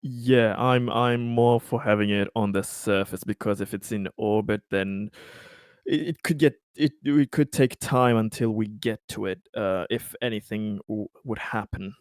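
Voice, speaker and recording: A man's voice: fairly low pitch, fairly even intonation, unhurried, smooth, dry recording, clean studio sound. A man taking part in a tabletop game as a player, discussing casually.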